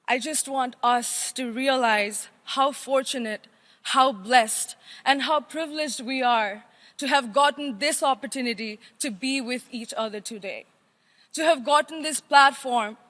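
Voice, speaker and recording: A woman's voice: high voice, sharp tone. Young woman giving an earnest hall speech. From Nepal. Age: young adult. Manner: earnest, deliberate oratory with listed phrases. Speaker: youth chair giving a welcome speech. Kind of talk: formal prepared speech. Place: large assembly hall.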